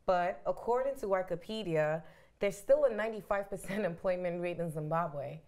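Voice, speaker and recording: A woman's voice: fairly low pitch, moderate pace, darkish tone. A woman from Ghana co-hosting a casual panel chat, telling a long story with frequent restarts. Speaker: co-host.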